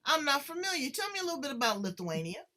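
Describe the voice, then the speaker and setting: An American woman hosts an interview in a chatty, animated manner. Her voice sits a little high, and she talks with playful energy. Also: fast. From United States. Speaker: podcast host. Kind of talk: casual interview chat.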